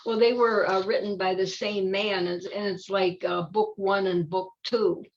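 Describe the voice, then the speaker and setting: An older woman talks casually about a children's story, feeling for her words as she goes. Her voice sits at an ordinary pitch, and the recording is slightly dull and lo-fi. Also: steady tone. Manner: halting, searching for words. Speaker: volunteer storybook reader. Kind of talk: casual discussion of a children's book.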